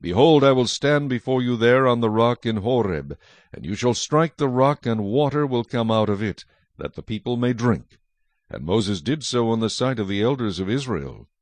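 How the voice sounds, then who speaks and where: A man narrating scripture from a script as a solemn, measured storyteller. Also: medium pitch, lively intonation, no echo.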